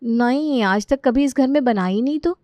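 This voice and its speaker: A woman's voice: high-pitched, normal speed, mellow, dry recording, lightly acted. A woman playing a naive, trusting young daughter-in-law in a story, mild and doubting in manner. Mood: doubtful.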